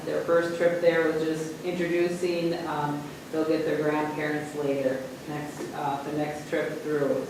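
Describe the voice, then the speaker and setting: A man giving a school principal's report at a board meeting, halting with many fillers and restarts. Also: on the high side. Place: large meeting room. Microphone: distant room mic. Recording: echoey room from far off, noisy.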